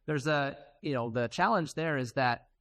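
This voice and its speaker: A man's voice: mid-range voice, moderate pace, fairly bright tone, dry recording. Man giving an informal technical presentation. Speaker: conference presenter, software developer.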